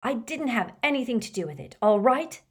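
A sulky, defiant teenage boy wizard from a storybook, voiced by a woman reader. He sneers and snaps back, his voice pushed up and louder than the narration. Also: fairly high pitch, sing-song, a little fast, slightly rough, pitched above the performer's own voice. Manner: sneering, resentful.

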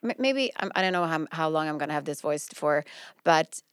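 A woman co-hosting a podcast in playful casual chat, her voice husky from a cold. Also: low-pitched, lively intonation, brisk, a bit bright, no echo, studio quality. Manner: playful, chatty. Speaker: podcast co-host.

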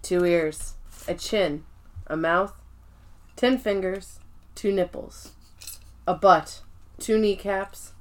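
A woman's voice: on the low side, slow, long pauses. A woman co-hosting a podcast, chatting and teasing casually and laughing often. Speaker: podcast co-host. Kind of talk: casual recap chat.

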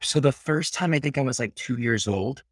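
A man's voice: medium pitch, normal speed, dry recording, studio quality. A man in his thirties, born in Peru, talking earnestly as a guest in a casual interview and sometimes slipping into Spanish. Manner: reflective and earnest, slips into Spanish.

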